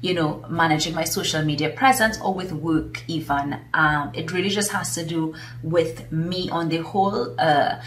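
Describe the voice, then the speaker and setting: A woman's voice: low voice, normal speed, hardly any pauses, fairly bright tone, a little hiss or hum. Trinidadian woman, chatty, in an echoey room. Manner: enthusiastic, chatty, many fillers. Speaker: vlogger and business coach. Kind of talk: casual talk to camera. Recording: roomy echo, mic at a distance.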